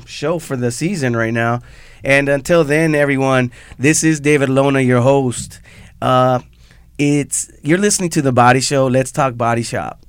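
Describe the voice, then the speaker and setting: A man's voice: mid-range voice, clear, resonant tone, dry recording. Upbeat man hosting a radio show. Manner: upbeat, hyping, talks to listeners directly. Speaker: radio host. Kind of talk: radio interview.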